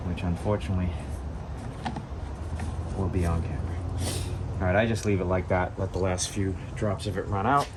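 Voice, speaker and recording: A man with a fairly low voice, congested and sniffly from a cold, gives a hands-on DIY tutorial. He speaks casually and plainly, explaining each step as he works. Clear background noise from the work surrounds his voice. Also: steady tone, normal speed, long pauses. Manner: plain-spoken, talking through each step. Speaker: DIY car repair host. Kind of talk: hands-on tutorial, casual talk while working.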